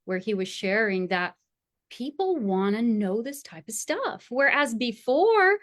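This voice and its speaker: A woman's voice: on the high side, measured pace, no room sound. Enthusiastic woman astrologer, dry close sound. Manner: enthusiastic, animated, frequent laughter. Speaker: astrologer and school founder. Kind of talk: conversational interview.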